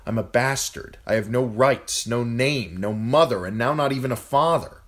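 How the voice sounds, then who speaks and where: A man's voice: medium pitch, moderate pace, fairly bright tone, voice pushed up, lightly acted. A man voicing a sullen young man in an audiobook, answering in short, terse lines. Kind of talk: audiobook character voice.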